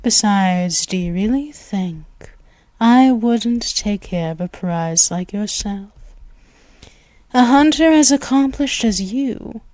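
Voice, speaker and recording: A commanding female vampire for an audio roleplay, purring each threat at an extremely unhurried pace. Her teasing menace slips into soft whispers, close and dry against the mic. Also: medium pitch, no echo. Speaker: ASMR roleplay voice actress. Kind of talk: ASMR roleplay.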